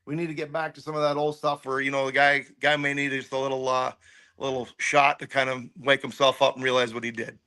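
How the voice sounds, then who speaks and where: A man's voice: mid-range voice, flat delivery, fast talker, bright, forceful tone, clean tone. A middle-aged man chatting casually as a racing interview guest, opinionated and ready to poke fun at himself. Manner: opinionated, self-deprecating. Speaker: guest, race car crew member.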